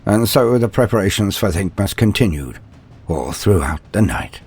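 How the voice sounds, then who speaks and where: A man narrating a mock-epic fantasy tale in a grandiose, theatrical manner with wry asides, his voice gravelly and husky. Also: on the low side, lively intonation, normal speed, darkish tone, soft background music. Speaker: narrator, storyteller. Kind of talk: dramatic scripted fan fiction narration, mock-epic.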